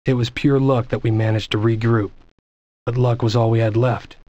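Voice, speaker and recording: A man's voice: mid-range voice, fairly even intonation, normal speed, mellow, dry recording, natural voice. An actor playing a weary soldier, narrating his platoon's war in retrospect in a scripted game cutscene. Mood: somber, reflective. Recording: dull lo-fi game audio.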